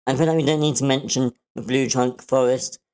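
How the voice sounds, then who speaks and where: A man giving casual, constructive feedback, politely softening his criticism. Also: medium pitch, steady tone, a little fast, clean tone. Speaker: participant giving script feedback.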